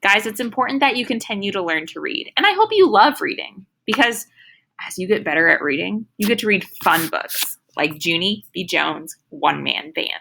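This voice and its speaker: Lady teacher talking to little kids, encouraging, really bright voice, up and down intonation. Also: mid-range voice, animated, moderate pace, gravelly. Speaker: elementary school teacher. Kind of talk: instructional talk to young pupils.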